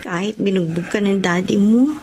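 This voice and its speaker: An actress playing a devoted, respectful young wife in a Tagalog radio drama, speaking sweetly and politely. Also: female voice, medium pitch, moderate pace, darkish tone, a little hiss or hum, lightly acted. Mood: tender, devoted.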